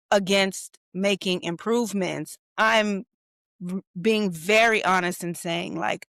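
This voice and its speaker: A woman's voice: mid-range voice, fairly bright tone, no room sound. A woman hosting a podcast, speaking frankly and reflectively about herself. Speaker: podcast host. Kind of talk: candid conversational talk.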